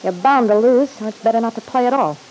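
A woman's voice: on the high side, fast, darkish tone, natural voice, poor audio. An actress playing a guarded, wisecracking young woman in an old-time radio soap opera, with slangy, breezy talk. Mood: defiant, flip. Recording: hissy vintage radio recording.

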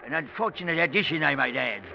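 An actor playing a stuffy, pompous old British army captain in an old radio drama. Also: male voice, fairly high pitch, animated, fast talker, creaky. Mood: disapproving. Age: older. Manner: pompous, formal. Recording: muffled, noisy old radio recording.

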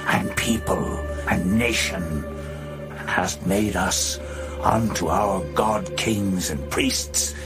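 A man playing a solemn, reverent heavenly elder in a dramatized scripture audiobook, declaiming in a stately way. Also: low-pitched. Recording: loud music bed under the voice.

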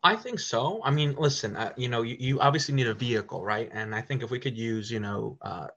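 Chatty man, bright forceful voice. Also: medium pitch, a little fast, highs cut off. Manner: rambling, lots of "you know" and restarts. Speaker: Italian teacher and parish community member. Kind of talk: casual podcast conversation.

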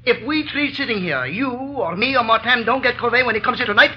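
A man's voice: extremely high pitch, animated, a little fast. An actor playing a blustering, scornful rich banker, an irritable and agitated man in an old-time radio drama. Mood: angry, fearful. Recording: dull, noisy vintage radio recording.

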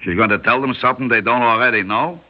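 An actor playing a calm, stubborn older shopkeeper who reasons in wry questions and Yiddish-inflected phrasing, in old-time radio style. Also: male voice, medium pitch, fast talker, natural voice. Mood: shrewd, persuasive. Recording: muffled old radio recording.

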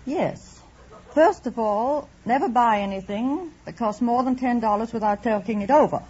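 A woman's voice: on the high side, normal speed, a little mellow, slightly rough. A middle-aged woman from France answering a host's questions as a quiz show contestant. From born in France. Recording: muffled old radio recording, faint noise.

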